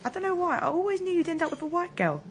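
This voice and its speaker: An actress with a British accent playing a brash, teasing, guarded young ex-soldier in naturalistic radio drama, blunt and deflecting. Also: female voice, extremely high pitch, big pitch swings, quick pace, a little hiss or hum. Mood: playful needling.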